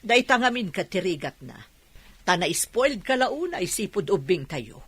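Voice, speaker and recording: An actress playing a stern, nagging sister in an Ilocano radio drama, with an Ilocano accent. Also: female voice, mid-range voice, sing-song, normal speed, fairly bright tone, slightly rough. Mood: scolding. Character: stern, nagging sister scolding a spoiled sibling.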